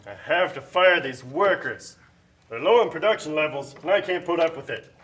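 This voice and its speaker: Casting: a man as a bullying puppet factory boss, high male voice, curt threats and barked orders. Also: animated, normal speed, fairly bright tone. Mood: bossy. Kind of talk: amateur puppet show acting.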